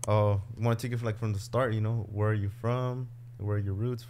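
A man's voice: lowish voice, level tone, fairly quick, darkish tone. A man hosting a podcast interview, casual and friendly, peppering his questions with "bro" and "like.". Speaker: podcast host.

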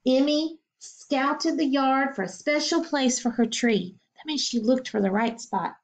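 A middle-aged woman teacher reading a children's picture book aloud at home, slow and expressive, pausing to ask her listeners questions. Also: sits high. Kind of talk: children's storybook read-aloud. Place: home living room. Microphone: webcam screen recording. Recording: dull lo-fi webcam audio.

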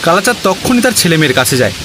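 A man's voice: high voice, sing-song, very fast, heavy background noise. A man narrating a scripted cartoon story as a storyteller.

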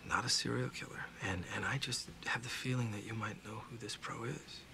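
Calm, menacing low-voiced American tough guy. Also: a little fast, vocal fry. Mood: terse, pressing. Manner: terse, understated, coolly confident. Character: calm, menacing tough investigator with a shady past. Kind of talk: film acting. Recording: film dialogue over noisy restaurant ambience.

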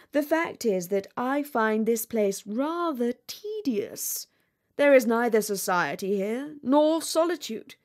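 A woman's voice: medium pitch, a little mellow, close to an everyday voice. A woman voicing a vain, haughty male firework rocket in a storybook, with a pompous, condescending manner. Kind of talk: storybook character reading.

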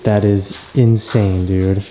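An American man, around his thirties, talking casually and excitedly in a slangy vlog style. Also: sits low, takes it slowly, slight background noise. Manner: hyped, slangy. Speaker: car vlogger. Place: car park and paint shop. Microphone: handheld camera at arm's length. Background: music.

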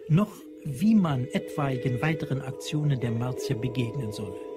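Ein Schauspieler spricht den sachlichen Erzähler eines Science-Fiction-Hörspiels. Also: male voice, medium pitch, close to an everyday voice. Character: sober chronicler narrating a science fiction tale. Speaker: narrator. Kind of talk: scripted narration. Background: music.

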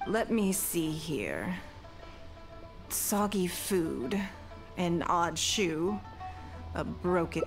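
Shrewd noble leader, low voice, calm, measured, game music behind. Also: female voice. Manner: composed, measured, authoritative. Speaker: game character.

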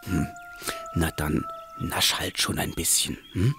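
A man playing a wry, fond storyteller in a children's cartoon, expressive and gently exasperated. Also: low voice, slow, vocal fry, heavily acted. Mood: advising. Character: wry, fond male storyteller who chides the little king. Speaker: narrator talking with the main character. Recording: loud music under the voice.

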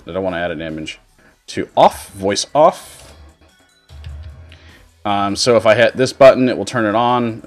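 Male streamer, lowish voice, casual walk-through delivery over light music. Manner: informal step-by-step explaining. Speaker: streamer giving a tutorial. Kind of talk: casual software tutorial. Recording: music behind the voice. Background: background music.

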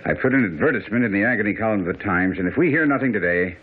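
Shrewd gentleman detective, lowish voice, lively intonation, self-assured, radio play, narrow tinny sound. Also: racing, husky. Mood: impatient. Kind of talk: radio drama acting. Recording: tinny radio broadcast with faint noise.

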